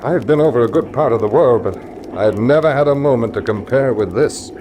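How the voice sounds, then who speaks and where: An actor playing a bold, eager baronet heir in a radio drama, hearty, emphatic and plain-spoken. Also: male voice, mid-range voice, a little fast, close to an everyday voice. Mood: awed. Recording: noisy background ambience.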